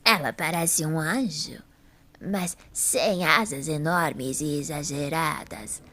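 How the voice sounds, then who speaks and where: A woman's voice: deep voice, takes it slowly, sharp tone, heavily acted. A woman playing a frail, polite elderly lady in an audio drama, kind and bewildered. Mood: gentle, slow.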